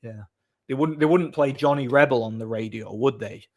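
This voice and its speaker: A man hosting a livestream, chatting casually and off the cuff in a blunt, opinionated, somewhat halting way. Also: mid-range voice. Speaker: livestream host. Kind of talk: casual off-the-cuff chat, answering viewer comments.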